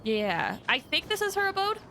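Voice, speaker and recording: A woman game streamer chatting casually with her viewers in an excitable, chatty manner. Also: very high-pitched, moderate pace, gravelly, a little hiss or hum. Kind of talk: casual livestream chat with viewers.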